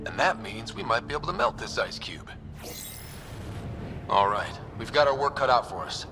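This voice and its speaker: An actor playing a young male explorer and leader who gives brisk, direct commands. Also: mid-range voice, big pitch swings, music in the background. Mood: steady.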